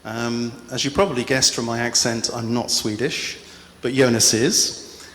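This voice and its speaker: A middle-aged man giving a relaxed conference talk, self-deprecating and full of "uh" fillers. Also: medium pitch, measured pace. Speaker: conference speaker. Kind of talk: conference presentation. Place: large conference hall. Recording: echoey hall with faint noise.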